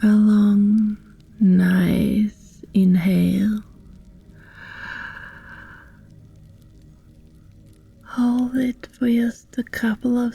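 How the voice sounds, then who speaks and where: Slow, very breathy sorceress, acted by a woman. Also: medium pitch, warm tone, full character voice. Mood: hypnotic, soothing. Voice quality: breathy, half-whispered. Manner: slow, soothing, deliberate with long pauses. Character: friendly, calm sorceress, gentle and reassuring. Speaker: ASMR roleplay voice actor. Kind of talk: whispered ASMR hypnosis roleplay. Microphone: close, dry microphone.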